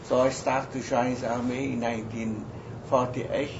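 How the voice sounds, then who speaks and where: An elderly man with a Vietnamese accent, whose first language is French, recalls his life in an oral history interview, speaking haltingly with many restarts and fillers. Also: mid-range voice, little pitch movement. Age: older. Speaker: interviewee, retired army surgeon. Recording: lo-fi noisy recording.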